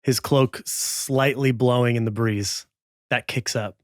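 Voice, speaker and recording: A man working as game master, improvising suspenseful narration for a tabletop western in a halting, lingering way. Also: mid-range voice, fairly even intonation, no echo, clean studio sound.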